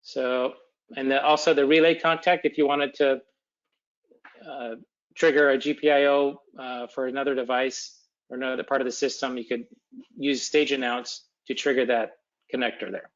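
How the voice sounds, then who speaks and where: A man talks through technical details as a webinar presenter. He is halting, as if thinking aloud, with an unscripted delivery. The sound is dull and lo-fi, like an online call. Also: medium pitch, flat delivery. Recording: dull, lo-fi webcast audio.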